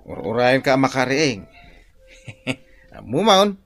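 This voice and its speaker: Swooping, wheedling gambler brother. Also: male voice, mid-range voice, very animated, slow, a bit bright, soft background music, heavily acted. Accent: Ilocano. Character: wheedling gambler older brother, lazy and excitable. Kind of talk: Ilocano radio comedy drama acting.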